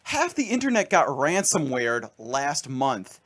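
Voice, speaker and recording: A man's voice: mid-range voice, big pitch swings, a little slow, a bit bright. Wry man explaining tech news. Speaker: co-host. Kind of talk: casual radio chat.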